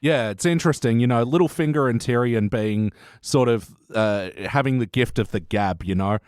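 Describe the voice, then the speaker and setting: A man with a medium-pitched voice talks as a podcast co-host in casual review chat. He is emphatic and sweary, and the recording is very dry. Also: normal speed, a little mellow, smooth, no room sound.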